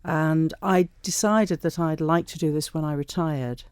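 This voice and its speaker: Female interviewee, middle-aged, big low-to-mid voice with a soft mellow tone, chatty, close dry studio sound. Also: unhurried, smooth, no echo. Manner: chatty, anecdotal. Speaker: studio guest, self-employed tour guide. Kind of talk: conversational interview. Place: radio studio.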